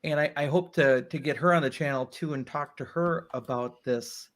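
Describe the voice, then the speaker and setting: A man's voice: fairly high pitch, normal speed, a bit bright. A man with a tenor voice hosting a podcast, chatty and thinking aloud with frequent likes and you-knows. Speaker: podcast host. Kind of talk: casual interview chat.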